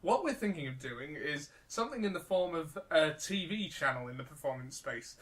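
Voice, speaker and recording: Young british guy, highish voice, rambly comedy podcast chat, chuckles a lot. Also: big pitch swings, moderate pace, a bit bright. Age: young adult. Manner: rambling anecdotes, frequent chuckling. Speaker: podcast co-host.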